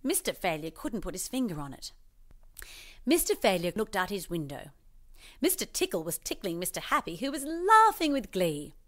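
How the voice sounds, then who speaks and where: A woman reading a scripted children's storybook as parody, expressive and mock-earnest in her storytelling. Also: mid-range voice, moderate pace. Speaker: storybook narrator.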